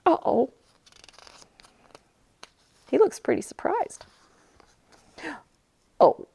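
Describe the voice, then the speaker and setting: Storytime lady for little kids, playful and super animated, high voice, takes her time. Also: extremely slow, creaky, husky. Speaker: library storytime presenter. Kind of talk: children's storytime, chatty and read-aloud.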